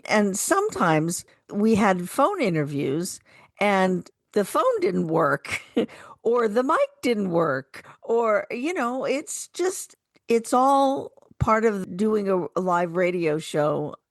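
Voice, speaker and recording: A woman's voice: mid-range voice, very animated, slow, no echo, studio quality. A woman co-hosting a radio show, speaking in a casual, chatty style. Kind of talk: casual radio chat with some program introductions.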